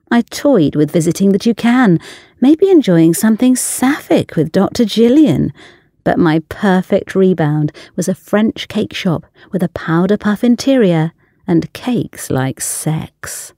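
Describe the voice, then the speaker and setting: A woman reading a literary short story aloud in a languorous, deliberate manner. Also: medium pitch, expressive, warm tone, no room sound, studio quality. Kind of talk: scripted literary narration.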